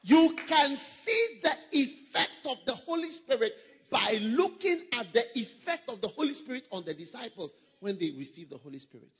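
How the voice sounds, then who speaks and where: A man's voice: very high-pitched, very animated, moderate pace, husky. A man pastor preaching a sermon, emphatic and repetitive, with sudden surges in volume. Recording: long hall reverb, dull sound.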